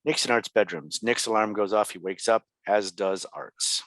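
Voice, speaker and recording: Lowish, dry-sounding male narrator. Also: moderate pace, fairly bright tone, no echo, low-quality recording. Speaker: narrator reading stage directions. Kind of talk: scripted narration at comedy table read.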